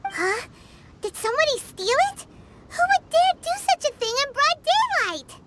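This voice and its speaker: A woman voicing a tiny, excitable female fairy companion in a video game, with a squeaky, childlike voice and chattering exclamations. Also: very noisy, exaggerated voice. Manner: bouncy, chattering, quick to exclaim.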